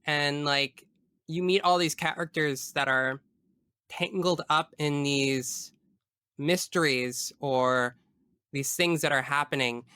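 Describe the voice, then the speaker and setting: A man's voice: fairly high pitch, fairly even intonation, takes it slowly, bright, forceful tone, smooth, no room sound, studio quality. A man in a casual podcast discussion as the guest, explaining his views earnestly and analytically at length.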